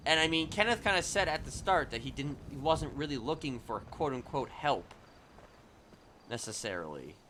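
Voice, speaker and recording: Bright-voiced male streamer, slightly high. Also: expressive, moderate pace, a little hiss or hum. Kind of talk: casual livestream commentary on a roleplay game.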